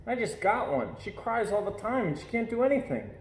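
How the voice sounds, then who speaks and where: A man's voice: sits high, sing-song, fairly quick, pitched above the performer's own voice. A man voicing a complaining young boy in a children's storybook. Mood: grumbling. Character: grumbling young boy, unimpressed big brother. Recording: echoey room.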